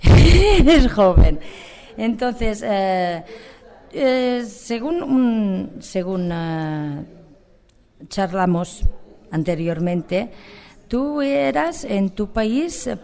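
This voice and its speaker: Mellow-voiced woman interviewer, hesitant. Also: mid-range voice, expressive, extremely slow. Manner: hesitant, many fillers and restarts. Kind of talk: casual interview.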